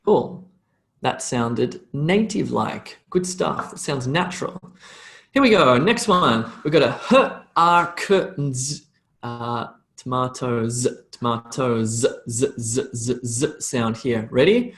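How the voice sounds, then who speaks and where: A man with an Australian accent teaching English pronunciation on a live online lesson, drilling phrases for the learner to repeat and slowing them down. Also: medium pitch, lively intonation, a bit bright.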